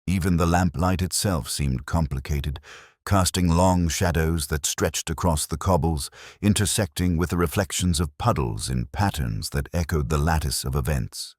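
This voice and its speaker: A man reading a scripted first-person detective story in a measured, formal, reflective manner. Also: extremely low pitch, dry recording, studio quality. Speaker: audiobook-style story narrator.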